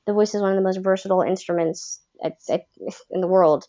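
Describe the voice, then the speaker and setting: A woman's voice: medium pitch, steady tone, fast talker, mellow, dull audio. A woman coaching voice actors in a live webinar, answering questions in a friendly, chatty way with many fillers. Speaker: voice acting coach. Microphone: webcam call.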